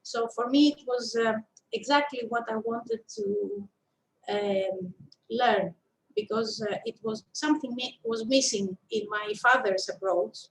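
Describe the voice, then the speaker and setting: A woman's voice: a little high, very animated, a little slow, vocal fry, poor audio. A woman in her fifties with a Greek accent, telling her story as an interview guest in a thoughtful, hesitant way. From Greece. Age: 50s. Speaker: interview guest, shiatsu practitioner and teacher.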